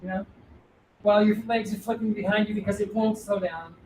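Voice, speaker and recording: Lively middle-aged coach working a room; heard from a distance with room echo and faint hiss. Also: male voice, sits high, fairly quick. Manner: animated, asks the audience, jokey. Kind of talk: talking to a live audience. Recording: roomy hall, distant voice, faint noise.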